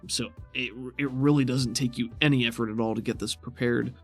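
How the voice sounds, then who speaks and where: A man speaking as game master of a tabletop roleplaying game, describing scenes and talking rulings through conversationally, at a middling pitch. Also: fairly even intonation, fairly quick, no echo, soft background music.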